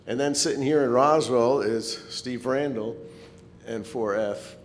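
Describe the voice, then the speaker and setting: A man's voice: unhurried, faint music behind. A man giving an informal, slightly hesitant club presentation in a meeting hall. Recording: echoey hall, rumbling background noise.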